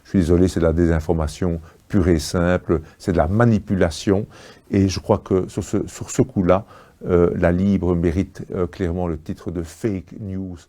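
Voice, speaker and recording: A man with a fairly low, soft-edged, relaxed voice. He speaks as a political party spokesman in an interview register. His delivery is assertive, with pauses as he searches for the right word.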